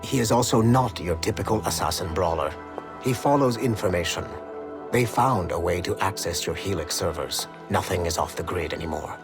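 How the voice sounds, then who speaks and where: An actor playing a stern, businesslike field agent in a game audio log, speaking in a formal, clipped, matter-of-fact way. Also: male voice, deep voice, normal speed. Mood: resentful, firm. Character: stern, businesslike male Templar field agent hunting assassins. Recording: music under the voice.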